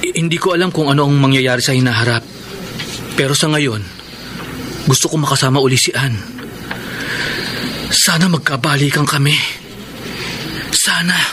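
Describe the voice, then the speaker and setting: A man acting a heartbroken, lovelorn man in a Tagalog radio romance drama, speaking earnestly and sentimentally with some halting. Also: medium pitch, background noise, lightly acted. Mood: wistful. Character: heartbroken lovelorn man pining for his former girlfriend.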